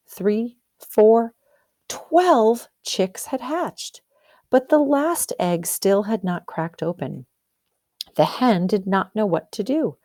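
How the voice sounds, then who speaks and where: A woman's voice: medium pitch, warm tone, dry recording, studio quality. A woman teacher reading a storybook aloud expressively, explaining and asking questions as if to young pupils. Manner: explanatory, questioning, checks understanding.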